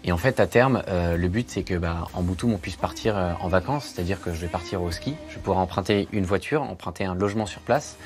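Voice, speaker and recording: A man's voice: deep voice, quick pace, few pauses. A man explaining his free object-lending platform in a TV report, with many fillers. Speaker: startup founder interviewed. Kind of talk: explaining a project in an interview.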